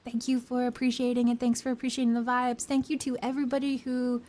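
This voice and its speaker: A young woman whose voice is worn out and hoarse after a long reading session. She talks casually to her stream, rambling with fillers and little giggles. Her voice sits a bit high. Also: flat delivery, fast talker, warm tone. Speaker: streamer reading a book aloud. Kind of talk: casual stream chat after a read-aloud.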